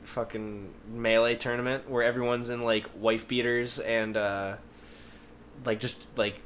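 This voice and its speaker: A man's voice: medium pitch, level tone, unhurried, a bit bright. A man co-hosting a podcast, telling rambling anecdotes in casual chat and swearing freely. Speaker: podcast host. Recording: noticeable background noise.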